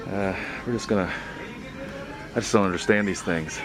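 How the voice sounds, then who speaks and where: A man's voice: sits low, normal speed, low-quality recording. A man giving casual commentary as a vlog host, muttering to himself while browsing in a store. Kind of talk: casual toy hunt commentary. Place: inside a retail store aisle. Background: music.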